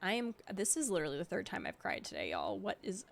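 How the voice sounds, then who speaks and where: A woman's voice: mid-range voice, huge pitch swings, fast talker, vocal fry. A young woman streaming her reaction to a game, emotional and rambling with many fillers, with game music behind. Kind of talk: casual reaction commentary.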